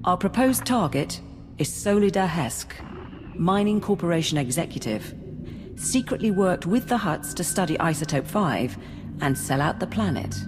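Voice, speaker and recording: Game role: efficient female logistics officer, low-pitched, clipped briefing manner, heard in a big reverberant space. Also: big pitch swings, moderate pace. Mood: brisk, businesslike. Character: brisk, efficient female military logistics and operations coordinator. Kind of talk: video game voice acting. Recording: reverberant hall, music behind.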